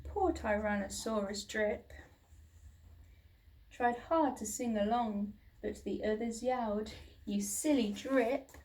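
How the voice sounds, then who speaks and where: A woman's voice: fairly high pitch, takes it slowly, darkish tone. A woman reading a children's storybook expressively, like a teacher, recorded at a distance from the mic.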